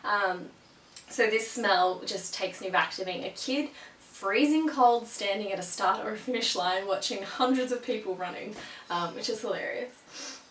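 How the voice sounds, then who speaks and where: A woman's voice: mid-range voice, normal speed, fairly bright tone. A young woman with a cold, a little sniffly, chatting casually to her viewers with lots of ums. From Australia. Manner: chatty, gushing, many ums. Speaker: vlogger. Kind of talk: casual product chat to camera. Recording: roomy, from a distance, faint hum.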